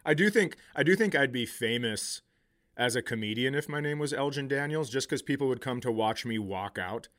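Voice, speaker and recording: A man's voice: medium pitch, expressive, brisk, a bit bright. A middle-aged man, a comedian co-hosting a podcast, telling stories in casual, profane, joking banter.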